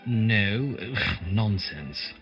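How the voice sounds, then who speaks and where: A man acts a brisk radio-play detective who has no patience for sentiment. His pitch is animated and swings widely as he gives orders and reasons aloud. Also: highs cut off, faint music behind, heavily acted. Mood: brisk, urgent. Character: brisk, impatient, commanding male detective, all business. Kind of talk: radio drama acting.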